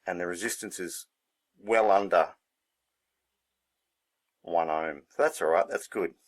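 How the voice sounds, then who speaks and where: A man's voice: low-pitched, unhurried. A man giving a casual hands-on demonstration, thinking aloud in a laid-back, unscripted way as he works. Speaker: hobbyist presenter.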